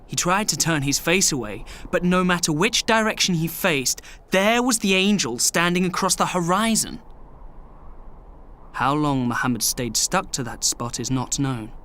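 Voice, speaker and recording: A man narrating a scripted children's radio story in clear, measured storytelling. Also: fairly high pitch, lively intonation, bright, forceful tone.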